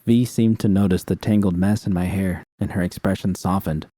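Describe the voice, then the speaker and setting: Mellow, low-voiced man reading fiction. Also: steady tone, moderate pace, no echo, clean studio sound. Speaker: reader. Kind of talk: first-person fiction narration.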